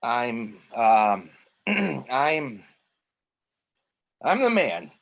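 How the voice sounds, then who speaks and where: A man playing a slow, meek office intern. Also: mid-range voice, heavily acted, poor audio. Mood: timid, deferential. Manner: hesitant, deadpan, deferential. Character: meek, literal-minded, eager-to-please male office intern. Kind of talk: comedy play table reading. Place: remote reading over a video call.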